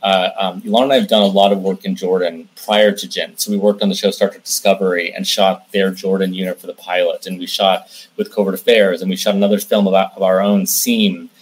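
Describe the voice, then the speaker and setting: A man's voice: low-pitched, little pitch movement, a little fast, hardly any pauses, slightly rough, rough recording. A man giving conversational interview answers as a guest, explaining things in detail and often restarting his phrases. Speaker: interview guest, film director and producer.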